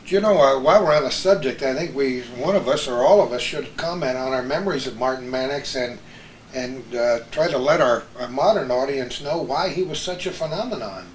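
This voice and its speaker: A man's voice: mid-range voice, brisk. An older man hosting a casual reminiscing chat, telling anecdotes in a chatty way. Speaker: host. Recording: echoey room with background hiss.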